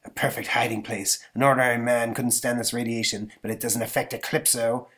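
A man voicing a gloating male supervillain in a comic book read-aloud, low and calm. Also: a little fast, close to an everyday voice.

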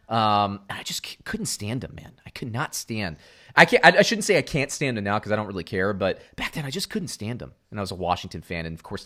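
A middle-aged man from Central Florida co-hosting a casual sports podcast, animated, going from loud outbursts to muttered asides. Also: fairly low pitch. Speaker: podcast co-host.

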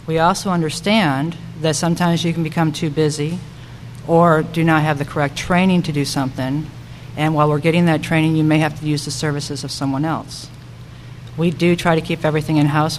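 A woman's voice: deep voice, steady tone, fairly quick, rough recording. A woman giving emphatic candidate remarks at a public forum, often repeating phrases for weight. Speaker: city council member and candidate. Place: public forum room at a school. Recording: faint noise and room ambience.

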